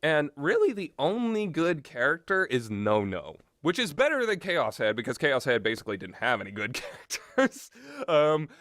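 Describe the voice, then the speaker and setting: A man's voice: mid-range voice, a bit bright, no room sound, clean studio sound. A man giving casual, rambling commentary with strong opinions and an emphatic way of talking. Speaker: video host.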